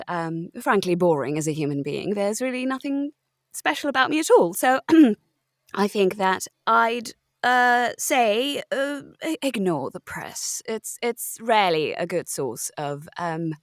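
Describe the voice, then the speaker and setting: A woman playing a falsely modest, self-important older actress, coy and halting in a mock interview. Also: fairly low pitch, animated, dry recording, clean studio sound. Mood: coy, earnest.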